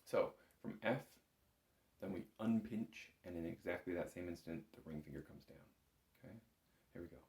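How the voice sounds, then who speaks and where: A man's voice: low-pitched, moderate pace, vocal fry, darkish tone. A man giving a step-by-step music lesson as an instructor, talking in an informal, patient, encouraging way. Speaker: bagpipe instructor. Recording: roomy, echoing room sound.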